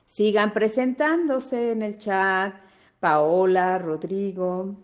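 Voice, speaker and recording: Slow-talking Argentine woman hosting a webinar. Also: medium pitch. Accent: Argentine Spanish. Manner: unhurried, greeting attendees one by one. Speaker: webinar host. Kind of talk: live webinar welcome. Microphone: video call.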